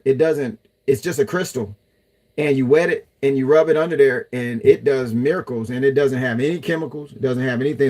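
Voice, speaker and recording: Chatty man reading live comments. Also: medium pitch. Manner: chatty, loose, talks to viewers as y'all. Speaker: livestream host reading chat. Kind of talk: casual livestream chat.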